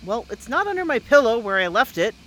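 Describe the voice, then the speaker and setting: A performer playing an anxious, exasperated boy at summer camp in an audio drama, with a halting, youthful delivery. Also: mid-range voice, big pitch swings, bright, forceful tone, a little hiss or hum, low-quality recording. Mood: worried. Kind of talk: naturalistic audio drama acting.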